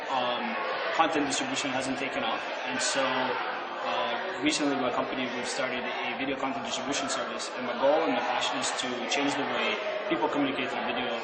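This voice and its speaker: A young man from Russia, telling his story in an interview with energetic enthusiasm. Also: medium pitch, moderate pace, echoey room, a loud crowd, very noisy. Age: young adult. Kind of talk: casual interview answers.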